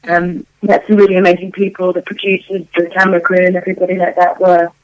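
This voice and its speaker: A woman singer being interviewed, her voice worn out and going hoarse, chatting loosely with lots of ums and restarts. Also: on the low side, little pitch movement, fast talker, no room sound, muffled recording. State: losing her voice. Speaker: guest vocalist. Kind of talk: casual interview answers.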